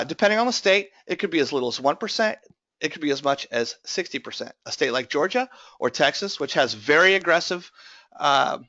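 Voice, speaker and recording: A man's voice: mid-range voice, pushed and bright, no echo, highs cut off. A man presenting an investing webinar, his voice strained from recent bronchitis, explaining steadily with many filler uh's. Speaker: tax lien investment presenter.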